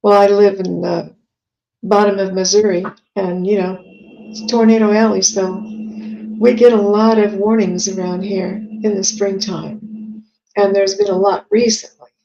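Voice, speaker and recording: A woman's voice: medium pitch, little pitch movement, unhurried, mellow. A woman, chatting casually as she hosts a live psychic reading stream and reads out viewer comments. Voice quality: scratchy, gravelly voice. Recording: dull lo-fi stream audio.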